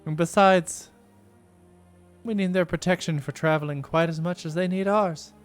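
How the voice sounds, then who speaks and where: A man voicing a proud, scornful female dark elf warrior in a raised, prim and haughty voice. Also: on the high side, steady tone, moderate pace, faint music behind, voice pushed up, close to an everyday voice.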